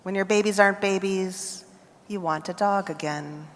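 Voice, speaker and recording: An American woman poet reading her poems aloud at a festival, with measured, deliberate phrasing and pauses between lines. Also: mid-range voice, a little hiss or hum. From United States. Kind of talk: poetry reading. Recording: reverberant hall.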